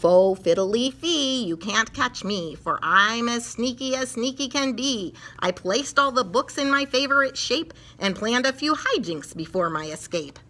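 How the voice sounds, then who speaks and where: Woman playing a bright, gleeful little leprechaun trickster. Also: a little high, expressive, unhurried, few pauses, a little hiss or hum. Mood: sly, boastful. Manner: sing-song rhyming taunts.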